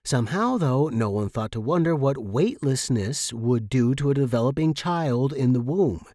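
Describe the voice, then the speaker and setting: A man's voice: medium pitch, expressive, normal speed, a little mellow, dry recording, clean studio sound. A man reading first-person fiction as a scripted audiobook narration.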